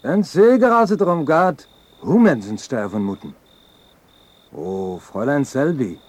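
A man's voice: medium pitch, expressive, measured pace, a little mellow. An actor playing a mockingly polite henchman in a radio drama. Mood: mocking, smug. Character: menacing, mockingly courteous German henchman with a gun.

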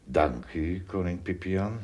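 A man's voice: low voice, slow, darkish tone. A man playing a good-hearted shipwrecked giant traveler in a radio play, hesitant and given to thinking aloud. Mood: calm.

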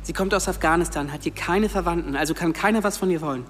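A man's voice: on the high side, fast, fairly bright tone, faint music behind, lightly acted. An actor playing an earnest, devoted and protective boy in a radio play, speaking tenderly. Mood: insistent.

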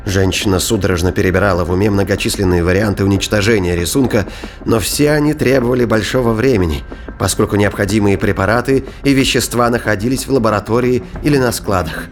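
A man's voice: on the low side, quick pace, a little hiss or hum. A man reading scripted narration for a science-fiction thriller audio drama. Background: music.

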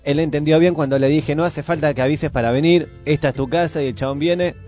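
A man's voice: fairly high pitch, steady tone, fast talker, a little mellow, soft background music. A man with an Argentine accent from the Buenos Aires area, co-hosting with casual banter. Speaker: radio co-host. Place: radio studio.

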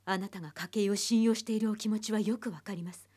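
An actress playing a stern, composed psychiatrist, speaking formally and bluntly in measured phrases in an anime radio drama. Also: female voice, mid-range voice, steady tone, a little mellow, breathy edge, close to an everyday voice. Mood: grave, firm.

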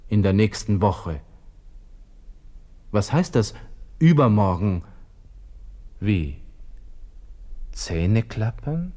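An actor playing a despairing young army lieutenant with an Austrian accent, talking to himself haltingly in a radio drama monologue. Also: male voice, deep voice, mellow, husky, heavily acted. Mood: brooding, anxious. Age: 20s.

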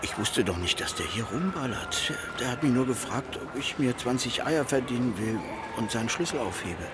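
Colloquial male kiosk vendor , played by an actor, mid-pitched, defensive witness, very noisy street, radio thriller. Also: expressive, moderate pace, natural voice. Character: defensive candy-stand vendor questioned as witness. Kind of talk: radio crime drama acting. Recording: very noisy city street ambience.

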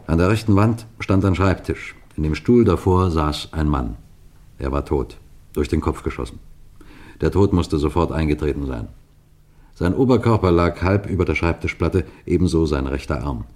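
A deep, low male voice belongs to a seasoned police detective in an old radio crime play. He tells his case deliberately and emphatically, with full authority. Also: darkish tone. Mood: tense narration. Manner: measured, deliberate, emphatic storytelling. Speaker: narrator and lead actor. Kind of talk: vintage German radio crime drama narration.

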